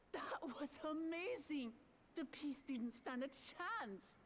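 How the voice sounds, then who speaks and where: Animated, forthright young warrior princess. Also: female voice, high-pitched, slight background noise. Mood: amazed. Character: earnest, excitable young warrior princess of a distant land. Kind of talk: video game cutscene acting.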